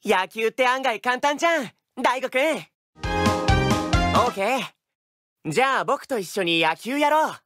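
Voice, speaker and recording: An easygoing young boy baseball player from an anime game, breezily confident. His delivery is lively in pitch, over very loud music. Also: slow pace, pauses often, heavily acted. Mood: breezy, confident. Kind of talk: anime-style game voice lines.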